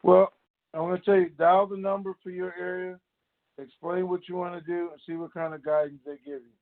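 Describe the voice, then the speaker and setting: A man's voice: high voice, level tone, brisk, dry recording. A man hosting a call-in conference call, talking casually and off the cuff in a plain-spoken, folksy way. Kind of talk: casual unscripted phone talk with callers. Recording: muffled narrow phone line.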